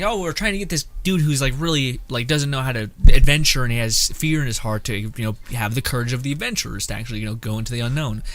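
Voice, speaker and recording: A man streaming a game with casual, rambling commentary. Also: mid-range voice. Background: game music.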